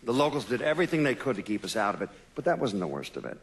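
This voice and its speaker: An actor playing a frank former investigator giving a blunt, matter-of-fact interview in a video game. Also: male voice, medium pitch, sing-song, very fast. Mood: disdainful, matter-of-fact. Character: frank former investigator recalling a botched case.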